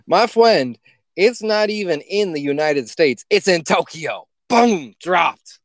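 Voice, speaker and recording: A man's voice: sits high, animated, slow, dry recording, studio quality. A man co-hosting a podcast in casual comedic banter, speaking in a mocking, incredulous and profane manner. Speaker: podcast co-host.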